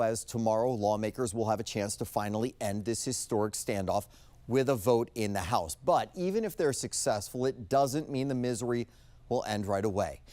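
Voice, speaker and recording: A man's voice: mid-range voice, mellow. An American man anchoring the news with a scripted introduction to a report.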